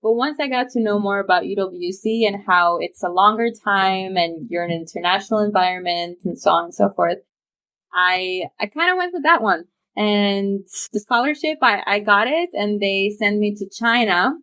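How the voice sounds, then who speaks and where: A woman's voice: medium pitch, normal speed, fairly bright tone. A young woman from Romania, a podcast guest talking eagerly and chattily over a remote call, laughing easily. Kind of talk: casual interview chat.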